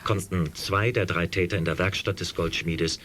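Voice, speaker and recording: A sober male newsreader delivering a formal radio bulletin. His voice is very deep and measured, coming from a radio set with faint noise. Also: fairly even intonation, a bit bright. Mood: sober, official. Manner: measured, official.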